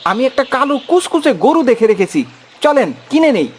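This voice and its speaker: A woman's voice: high-pitched, big pitch swings, brisk, darkish tone, a little hiss or hum. A woman voicing an eager young uncle in a cartoon. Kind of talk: cartoon voice acting.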